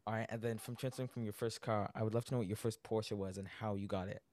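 A young man hosting a podcast, his voice sitting a bit low and recorded close with no room sound. He asks earnest questions and keeps answering with short, eager reactions. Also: fairly even intonation, fast talker, a little mellow, no echo. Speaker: podcast host. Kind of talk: podcast interview.

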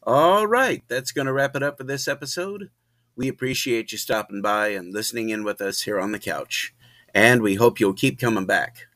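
A man's voice: medium pitch, normal speed, fairly bright tone, dry recording. A man giving a casual podcast sign-off as its host.

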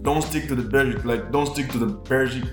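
A man's voice: mid-range voice, a little fast, roomy sound, over music. A Swahili-speaking man from Tanzania, a podcast guest talking casually about design, often repeating words and restarting.

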